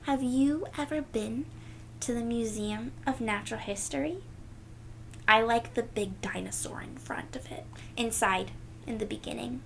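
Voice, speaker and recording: A woman with a fairly high voice reads a children's story at a very unhurried pace. She is expressive and pauses to explain things simply to young listeners. There is some noise in the background. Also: vocal fry, rough recording. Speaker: read-aloud storyteller. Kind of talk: children's picture-book read-aloud with asides to listeners.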